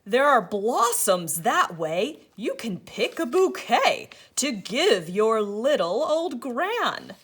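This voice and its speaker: A woman's voice: a little high, sing-song, slow pace, hardly any pauses, a bit bright, husky, full character voice. A woman voicing a hungry, scheming male storybook wolf with a sly, coaxing manner. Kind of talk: storybook character voice.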